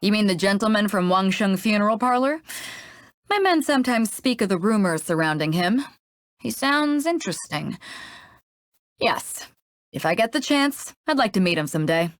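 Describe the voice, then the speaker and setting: A woman's voice: mid-range voice, lively intonation, moderate pace, dry recording, natural voice. A woman playing a bold, big-hearted ship captain for a video game, with a confident, frank and laid-back manner. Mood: relaxed, amused. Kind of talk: video game character voice lines.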